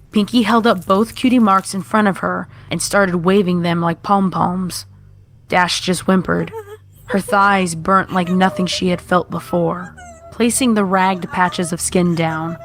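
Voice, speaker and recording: A woman narrator reading a scripted horror story. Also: on the low side.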